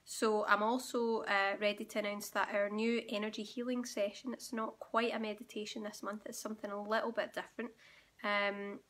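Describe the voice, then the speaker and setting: Croaky-throated woman, sore throat, talking gently to camera at home, explaining things to viewers. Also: medium pitch, little pitch movement, normal speed. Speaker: spiritual awakening guide and channel host. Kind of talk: direct-to-camera teaching monologue.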